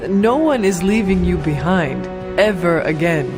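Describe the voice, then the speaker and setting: A woman playing a stoic, resolute warrior in a video game cutscene, with a formal, measured, deadpan delivery. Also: lowish voice, music in the background. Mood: earnest, dry.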